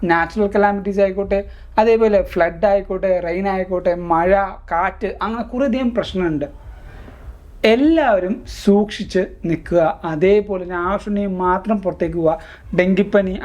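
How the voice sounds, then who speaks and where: A man from Kerala with a Malayali accent hosts a tech explainer, talking conversationally to viewers and mixing in English terms. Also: high voice. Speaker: tech video host.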